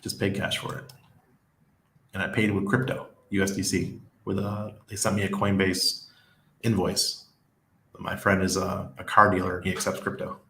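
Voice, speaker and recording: A man's voice: sits low, level tone, moderate pace, sharp tone, slight background noise. A man in his forties with a Western New York accent, casually chatting on a livestream, chuckling often and reading viewer comments aloud. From Western New York, USA. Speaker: livestream host. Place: small home room with neon shelf lights. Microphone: desk mic on a stand beside him. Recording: echoey room.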